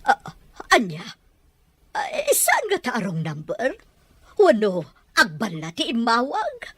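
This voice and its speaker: A woman with an Ilocano accent voicing a calm, caring mother who gives gentle advice in a radio drama. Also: fairly high pitch, slow pace, husky, no echo, heavily acted. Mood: soothing. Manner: gentle, advising. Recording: dry with faint music.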